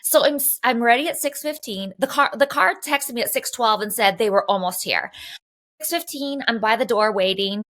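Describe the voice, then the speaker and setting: A woman's voice: on the high side, normal speed, fairly bright tone. A woman co-hosting a podcast, telling a rambling, chatty story full of "like" fillers. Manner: chatty, rambling, heavy use of "like". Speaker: podcast co-host. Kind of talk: casual chat, storytelling. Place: Los Angeles area home.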